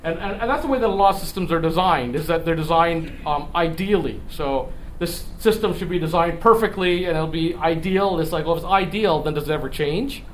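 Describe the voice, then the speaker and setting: A middle-aged man giving a conversational lecture, halting and restarting phrases as he explains with rhetorical questions. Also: on the high side. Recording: echoey room, distant mic, noisy background.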